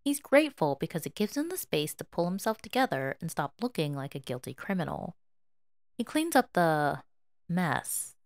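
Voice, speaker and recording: A woman narrates a story in an expressive, dramatic way. Her voice sits a little low for a woman and moves through a wide, animated range. The recording is close and dry. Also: dry recording. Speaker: fan fiction audiobook reader.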